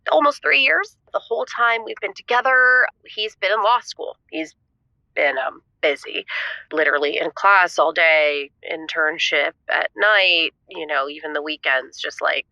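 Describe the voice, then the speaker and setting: A woman playing an exasperated, flustered young caller who vents haltingly over the phone. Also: low voice, gravelly, sharp tone, dry recording, dull audio, full character voice. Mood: nervous, explaining. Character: exasperated, frazzled young female spin instructor phoning in. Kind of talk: comic audio drama, radio caller.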